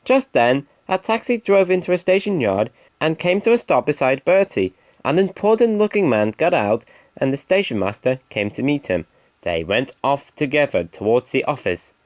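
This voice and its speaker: A man's voice: mid-range voice, sing-song, normal speed, no echo. A man reading a scripted children's story as narrator.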